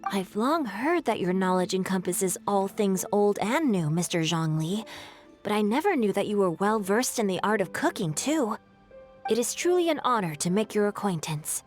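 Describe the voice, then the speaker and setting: Game role, female actor: poised young man, mid-range for a woman, expressive, refined and formal. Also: medium pitch, moderate pace, natural voice. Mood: respectful. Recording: voice over background music.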